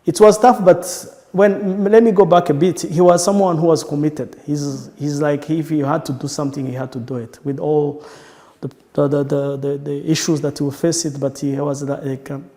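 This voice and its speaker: Rwandan man, halting, in a reverberant room. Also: fairly high pitch, fairly even intonation, mellow. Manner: halting, searching for words with frequent restarts. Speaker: entrepreneur. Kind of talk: personal recollection in an interview.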